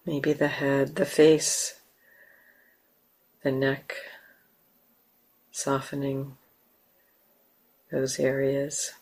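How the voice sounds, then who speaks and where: A woman with an American accent, a meditation teacher leading a guided meditation slowly and softly, with long pauses. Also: rumbling low. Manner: slow, soft, calm, with long pauses. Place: meditation hall.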